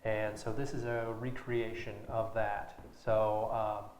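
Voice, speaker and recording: A man's voice: lowish voice, little pitch movement, slow pace, darkish tone. A man giving a casual, jokey interview as a director, with hesitant starts. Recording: echoey room with background noise.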